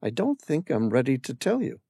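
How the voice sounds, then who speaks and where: A man's voice: mid-range voice, huge pitch swings, creaky, warm tone, husky, dry recording, clean studio sound, pitched above the performer's own voice, exaggerated voice. A man reading aloud, voicing a wary, determined woman stranded abroad who guards her secrets. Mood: guarded. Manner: wary, quick to shut down.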